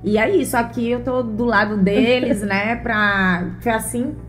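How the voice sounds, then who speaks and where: A woman talks through her answers with care, pausing and restarting as she thinks. Her voice sits a little high. Faint music plays behind her. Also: steady tone. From Bahia, Brazil. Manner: thoughtful, many fillers and restarts, earnest. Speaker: interview guest, mother and former gymnast. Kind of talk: relaxed interview conversation.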